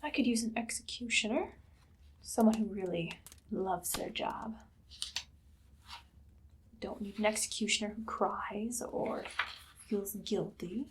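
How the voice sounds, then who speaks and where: A woman playing a cruel, imperious evil queen in an ASMR roleplay, speaking softly and often slipping into a whisper. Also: mid-range voice, measured pace, pauses often, noisy background, close to an everyday voice. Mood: menacing, coolly commanding. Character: haughty evil queen, cruel, darkly amused conqueror. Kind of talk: ASMR roleplay monologue, personal attention.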